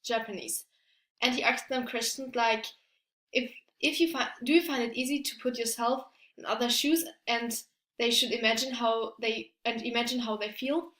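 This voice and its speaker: Higher-voiced woman giving a halting student presentation. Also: fairly even intonation, fairly bright tone. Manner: halting, stumbling over words. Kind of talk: prepared academic presentation. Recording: echoey room.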